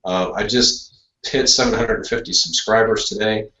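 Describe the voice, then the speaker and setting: A man on a video call speaking haltingly, with many restarts and fillers. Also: fairly low pitch, steady tone, roomy sound, crowd noise, low-quality recording. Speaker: guest model railroader. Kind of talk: casual remarks on a video call.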